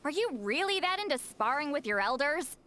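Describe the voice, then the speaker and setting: A woman's voice: high-pitched, very animated, fairly quick, sharp tone, a little hiss or hum, full character voice. A woman playing a grumpy, exasperated but youthful-sounding granny figure in a video game.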